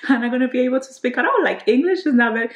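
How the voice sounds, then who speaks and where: A woman's voice: sits high, lively intonation, fast talker, reverberant. Chatty young woman with Dominican accent. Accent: Dominican Spanish. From Dominican Republic. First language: Spanish. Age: 20s. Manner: chatty, trailing restarts, gesturing with hands. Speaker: beauty vlogger. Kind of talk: casual chat, answering questions to camera. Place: bedroom at home, indoors.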